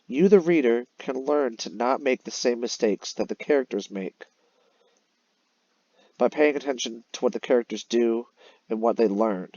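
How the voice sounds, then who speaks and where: A man's voice: mid-range voice, normal speed, mellow, no room sound, muffled recording. A man teaching young children in an explanatory way, as in a recorded read-aloud lesson. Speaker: elementary teacher. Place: voice-over on a screen-recorded slide lesson.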